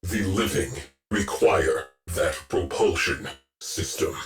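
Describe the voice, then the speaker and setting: Sci-fi game ship AI: stern war veteran, deep register, unhurried, terse and grave. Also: male voice, animated, soft background music. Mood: grave, brooding. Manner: clipped, terse, solemn military delivery. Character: stern, weary veteran military ship AI, haunted by loss. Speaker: mission control and ship intelligence. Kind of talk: scripted video game mission briefing.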